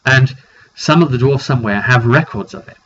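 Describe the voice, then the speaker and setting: A British man giving a casual, rambling recap of a roleplay game session, often halting mid-sentence as he tells the story. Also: mid-range voice, a bit bright. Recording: dull lo-fi recording with background noise.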